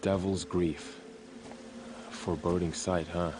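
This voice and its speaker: Young male warrior for a story game: very low voice, devoted, impassioned, formal delivery, faint background noise. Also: level tone, takes it slowly. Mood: steady, ready. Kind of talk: dramatic video game acting.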